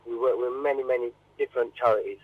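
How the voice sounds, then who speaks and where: A man answering informally in a radio interview, halting and often repeating his words. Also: medium pitch, steady tone, no echo, narrow, tinny audio.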